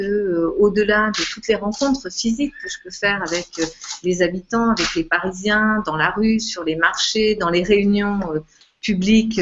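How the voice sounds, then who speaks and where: A woman's voice: lowish voice, fairly bright tone, slight background noise. A woman who is a political candidate, speaking hesitantly with many fillers in a live video chat. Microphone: video call. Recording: dull lo-fi video call audio.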